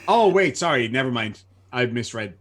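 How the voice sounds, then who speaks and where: Man, southern irish accent, casual guest chat, mid pitch, self-deprecating, stammers on restarts. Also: animated, moderate pace. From Ireland. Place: lives in Australia.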